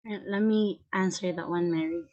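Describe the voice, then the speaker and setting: A woman's voice: fairly low pitch, steady tone, normal speed, a little mellow, highs cut off. A woman applying as a virtual assistant, answering interview questions carefully over a video call.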